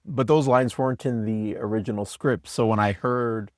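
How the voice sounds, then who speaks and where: A man co-writing the show, chatting casually in an earnest way that trails off softly. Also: mid-range voice, fairly even intonation, normal speed, dry recording, clean studio sound. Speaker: co-writer. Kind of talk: casual chat.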